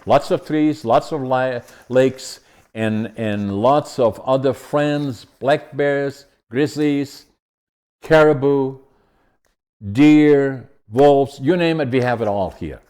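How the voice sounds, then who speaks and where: A man's voice: mid-range voice, slow, a little mellow, smooth. A man hosting an interview podcast, chatting casually and often restarting his questions as he goes. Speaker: podcast host.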